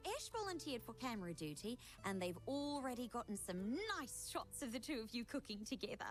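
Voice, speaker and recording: Poised young woman, polite, precise diction, varied intonation, video game dialogue over soft music. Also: a little high, big pitch swings, fairly quick. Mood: pleased. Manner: formal, refined, precise. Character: poised, polite young lady organiser, gently strict.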